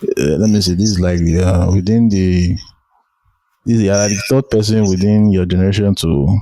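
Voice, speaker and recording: A Nigerian-accented man hosts a casual interview podcast. His voice sits very low, and the recording is close and dry, with little room sound. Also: fairly even intonation, moderate pace, mellow, no room sound. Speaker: podcast host.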